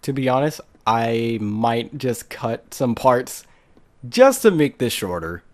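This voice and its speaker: A man's voice: medium pitch, very animated, slow pace, a little mellow. A man giving casual, off-the-cuff commentary as the host of a gaming video. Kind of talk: casual let's play commentary.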